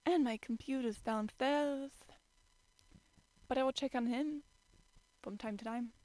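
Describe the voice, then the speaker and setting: A woman's voice: high voice, unhurried, darkish tone, no room sound, voice pushed up. A woman voicing a playful, breezy adult man with a teasing, singsong manner, in an amateur story reading. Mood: breezy, evasive.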